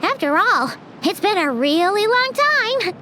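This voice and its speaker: A woman's voice: very high voice, very animated, unhurried, bright, forceful tone, full character voice. A woman voicing a tiny, chatty female companion sprite who talks about herself in the third person, in video game dialogue with music behind. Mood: cheerful.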